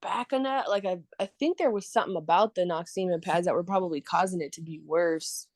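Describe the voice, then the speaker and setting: A woman's voice: lowish voice, brisk, a little mellow, no room sound, clean studio sound. A woman in her thirties, a podcast guest chatting casually and laughing often as she tells stories. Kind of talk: casual chat.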